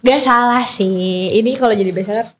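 Gushing high-voiced woman co-host. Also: a little fast, heavy background noise. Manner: enthusiastic, gushing taste-tester. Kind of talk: casual live-stream chat. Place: small studio with pink walls and colored lights. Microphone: studio mic on boom arm.

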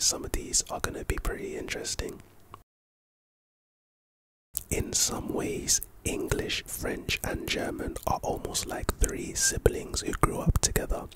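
A man speaks in a hushed, unvoiced whisper throughout, like a quiet ASMR lesson. He reads words and example sentences calmly, then slips in playful jokes and teasing asides. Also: low-quality recording. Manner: playful asides while reading. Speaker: ASMR host teaching vocabulary.

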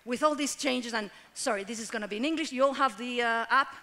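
A woman making a rushed, apologetic announcement from a conference stage. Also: a little high, pushed and bright. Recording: hall with long reverb.

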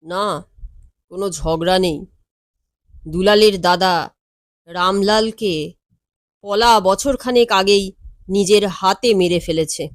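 A woman voicing a composed, world-weary male lawyer in an audio story, speaking in a measured, matter-of-fact and wry way. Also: mid-range voice, dry recording, clean studio sound, lightly acted.

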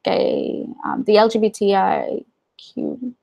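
A woman's voice: mid-range voice, huge pitch swings, slow pace, creaky, warm tone, no echo. A young woman speaking as a guest in a casual video-call interview from her living room, candid and wry. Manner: candid, wry, many fillers and asides. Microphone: video call webcam.

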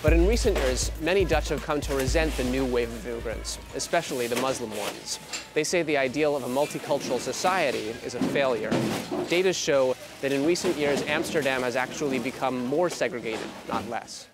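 A male correspondent narrates a news report in a measured, even voice at a middle pitch. The delivery is steady and scripted. Faint music plays softly underneath.

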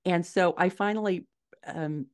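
A middle-aged woman answering interview questions conversationally, telling stories in an animated way on a video call from a room at home. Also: on the low side, measured pace. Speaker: podcast guest, indie author. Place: small furnished room at home, indoors.

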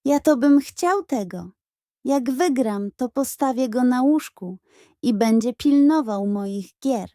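A woman voicing an excitable, slightly bossy older brother, a boy who exclaims loudly and urgently in a children's audiobook. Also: high voice, takes it slowly, no room sound, studio quality. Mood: eager.

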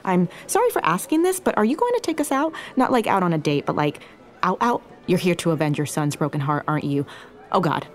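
A woman's voice: on the low side, very animated, a little fast. A woman playing a nervous, flustered romcom heroine who rambles and halts in an audio drama. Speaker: actress in a podcast drama. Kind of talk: romantic comedy audio drama acting.

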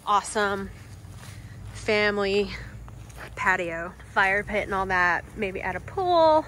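A middle-aged woman chatting casually and excitedly as she films herself outdoors at a house site with a handheld phone. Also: medium pitch, slight background noise, rough recording. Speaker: vlogger renovating a farmhouse. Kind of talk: casual walkthrough chat. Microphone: phone held at arm's length.

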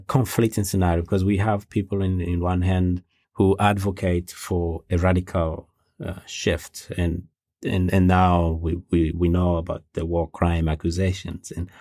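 A man's voice: low voice, mellow, no room sound. A man hosting a podcast, asking a long question in a hesitant way and searching for words. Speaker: podcast host. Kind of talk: interview questions.

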